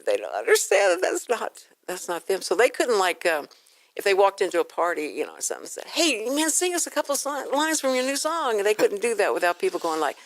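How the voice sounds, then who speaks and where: A woman's voice: medium pitch, huge pitch swings, a little fast, no echo. A woman with an American accent hosting a chatty radio interview, rambling informally and acting out quotes as she tells stories. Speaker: radio show host.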